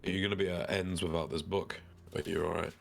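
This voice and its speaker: Low, gravelly man playing a tabletop game. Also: level tone, fast. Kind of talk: casual in-character roleplay chat.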